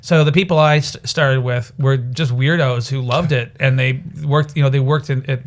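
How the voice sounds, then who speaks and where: A man in his forties, a stand-up comedian guesting on a podcast, telling stories in a halting, repetitive way. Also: mid-range voice, steady tone. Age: 40s. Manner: halting, repeats words, storytelling. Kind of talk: casual comedy podcast chat. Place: recording studio.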